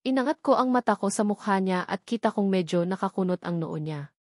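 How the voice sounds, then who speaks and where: A woman narrates a romance novel in the first person. Her voice sits at a medium pitch, and the sound is close and dry, with hardly any room on it. It is scripted storytelling, read aloud. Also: lively intonation, brisk, darkish tone, no room sound. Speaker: audiobook narrator.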